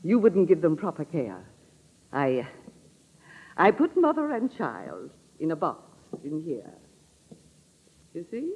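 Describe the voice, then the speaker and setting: A woman's voice: low voice, huge pitch swings, slow, long pauses, husky, phone-quality sound, full character voice. A woman acting a determined, suspicious middle-aged sister-in-law in a 1940s radio drama, blunt and accusing, on an old radio recording. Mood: brisk, watchful.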